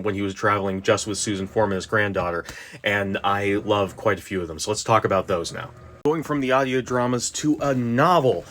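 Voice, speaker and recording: A man talking casually as the host of a fan video guide, jokey, sweary and prone to rambling off on tangents. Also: on the low side. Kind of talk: casual rambling video commentary on sci-fi stories.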